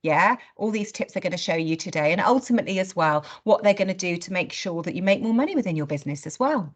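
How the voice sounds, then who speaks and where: A woman's voice: lowish voice, lively intonation, quick pace. A woman hosting a training webinar in an informal, blunt, conversational way, with rhetorical questions and acted-out asides.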